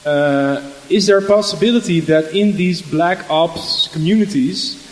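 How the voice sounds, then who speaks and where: A man's voice: fairly high pitch, a little slow. A man in the audience putting a question to a panel, polite and hesitant. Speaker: audience member asking a question. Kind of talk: halting question from the floor. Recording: reverberant conference hall.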